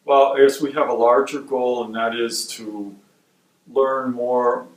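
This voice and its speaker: A man from Wisconsin who directs a research institute, giving measured, explanatory interview answers. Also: medium pitch. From Wisconsin, USA. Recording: roomy sound from a distant mic.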